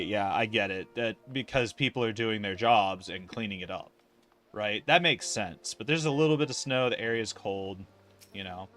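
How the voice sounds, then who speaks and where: A man's voice: fairly low pitch, sharp tone. A man casually commentating a livestream of an online fantasy game, rambling and thinking aloud with casual swearing.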